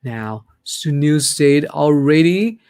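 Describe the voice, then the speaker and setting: A man's voice: fairly high pitch, lively intonation, slow, darkish tone, clear, resonant tone, dry recording. A man with a Thai accent, whose first language is Thai, hosting a casual livestream and chatting upbeat with viewers as he reads their comments aloud. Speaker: livestream host.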